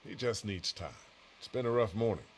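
An actor playing a calm, conscientious survivor in a zombie video game, speaking in a measured, earnest way. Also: male voice, fairly low pitch, slight background noise, lightly acted. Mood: firm.

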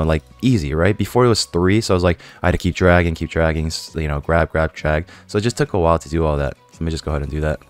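Low-voiced hoarse young man chatting gameplay. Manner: chatty, rambling, many fillers and self-questions. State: hoarse from a cold. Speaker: gaming YouTuber. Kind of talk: casual game commentary to viewers.

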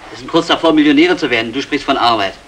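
An actor playing a flippant, work-shy, cynical burglar in a 1960s German radio play. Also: male voice, mid-range voice, quick pace, fairly bright tone, close to an everyday voice. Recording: noisy old radio play recording.

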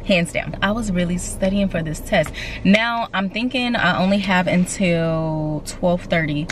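A woman's voice: fairly low pitch, a little slow. A woman in her thirties, an army medical trainee, chatting casually to her viewers from a parked car. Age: 30s. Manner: chatty, confiding, addresses viewers as y'all. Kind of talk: casual vlog chat to camera. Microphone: camera on the dashboard. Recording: distant camera mic with background noise.

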